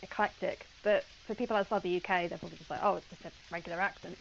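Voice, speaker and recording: Gravelly-voiced British woman, muffled mic. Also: fairly low pitch, fairly even intonation, very fast, slight background noise. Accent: British, mixed western and eastern English with Welsh touches. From western UK. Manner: chatty, hesitant, laughs often. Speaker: channel moderator. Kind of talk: casual livestream chat.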